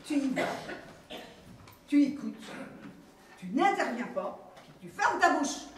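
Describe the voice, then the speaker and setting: A woman's voice: sits high, big pitch swings, slow pace, heavily acted. An actress playing a bossy woman accomplice who scolds and gives orders, in a film comedy. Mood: scolding. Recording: very echoey room, far mic, noisy.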